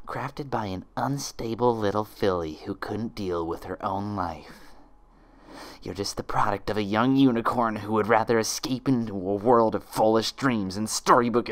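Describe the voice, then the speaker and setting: A man voicing a monstrous female unicorn impostor in a storybook reading, cruel and mocking, with theatrical, drawn-out menace. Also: on the low side, fairly bright tone.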